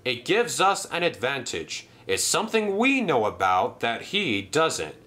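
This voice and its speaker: A man's voice: mid-range voice, wildly swooping pitch, a little slow, bright, forceful tone, voice pushed up, heavily acted. A man voicing a fussy male railway boss who speaks properly and exclaims. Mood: scheming.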